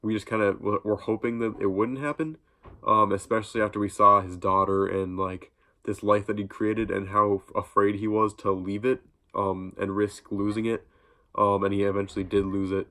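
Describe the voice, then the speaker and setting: A man's voice: fairly low pitch, little pitch movement, normal speed, pushed and bright. A young man with a stuffy nose from a cold, chatting casually in a vlog and rambling with lots of ums and restarts. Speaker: YouTube vlogger. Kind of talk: casual reaction vlog talk.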